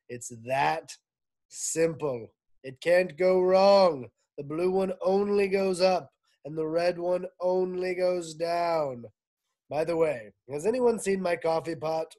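A man reading aloud, voicing a pompous male school principal making a loud loudspeaker announcement. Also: fairly high pitch, takes it slowly, pitched above the performer's own voice. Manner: loud, announcing, self-important.

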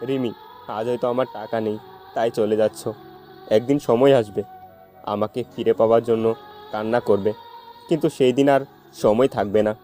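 A dark, mellow male voice a man acting plays a jilted, heartbroken young lover. The lines are melodramatic love-story dialogue over a faint music bed. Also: mid-range voice, fairly even intonation, moderate pace, long pauses, lightly acted. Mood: heartbroken, bitter. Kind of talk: amateur audio love-story drama.

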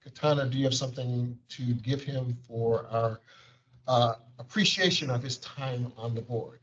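A man's voice: mid-range voice, normal speed, a bit bright, a little hiss or hum. Male administrator reading monthly figures to a board; bureaucratic, filler-heavy delivery, dull meeting-room recording. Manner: wordy, bureaucratic phrasing with frequent fillers. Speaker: agency administrator. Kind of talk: formal board meeting report. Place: large conference room.

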